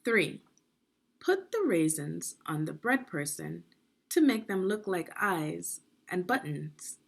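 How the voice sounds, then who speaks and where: Slow, expressive woman reading to children. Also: lowish voice, gravelly, slightly rough. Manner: deliberate, explanatory, checking in with questions. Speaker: reading teacher, narrator of a children's book read-aloud. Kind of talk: instructional read-aloud for young children.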